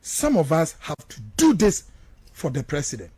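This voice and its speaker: A man's voice: fairly high pitch, huge pitch swings, moderate pace, mellow, slightly rough, no room sound. A Ghanaian man giving emphatic, repetitive political commentary as he switches between two languages, full of rhetorical turns.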